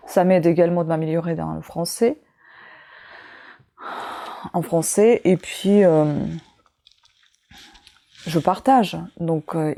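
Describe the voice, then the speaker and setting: A woman's voice: lowish voice, dark tone. A woman in her forties with a foreign accent in French, a vlogger chatting casually as she reads out book titles and adds asides. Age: 40s. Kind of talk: casual chat.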